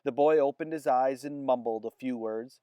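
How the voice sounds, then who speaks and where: A man reading a children's chapter book aloud with expressive storytelling. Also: medium pitch, normal speed, clear, resonant tone, no room sound, highs cut off. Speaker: narrator.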